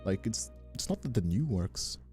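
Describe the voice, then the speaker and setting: A soft-spoken man chatting casually on a livestream as the host, talking in a hesitant, rambling, reassuring way close to the mic. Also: on the low side, expressive, moderate pace. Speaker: streamer and ASMR voice actor hosting a live stream. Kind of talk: casual livestream chat with ASMR calm. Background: soft instrumental music.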